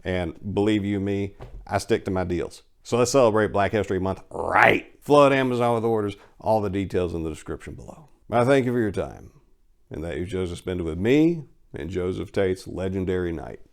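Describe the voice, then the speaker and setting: A man's voice: low voice, animated, moderate pace, gravelly. Theatrical man reading a story dramatically. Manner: theatrical, swinging from hushed to loud emphasis; profane, folksy storytelling. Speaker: narrator and channel host.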